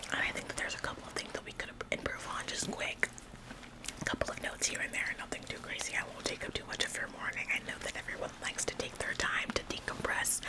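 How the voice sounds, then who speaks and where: A woman's voice: natural voice, low-quality recording. A woman in a whispered ASMR roleplay, playing an overeager, officious office employee who acts like the boss, chirpy, fussy and politely bossy.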